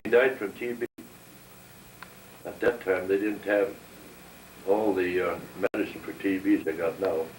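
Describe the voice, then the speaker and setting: Deep-voiced elderly man, distant mic. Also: steady tone, measured pace, pauses often, a little mellow. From North Dakota, United States. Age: 70s or older. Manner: plain-spoken, matter-of-fact storytelling. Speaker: interviewee, war veteran. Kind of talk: oral history recollection. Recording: roomy, distant microphone, background hiss.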